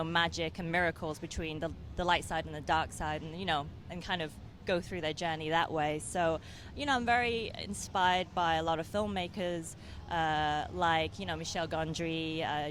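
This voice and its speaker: Soft-spoken English woman, hesitant. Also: sits low, a little hiss or hum. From England. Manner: hesitant, many fillers and restarts. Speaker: film director. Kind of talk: interview answers.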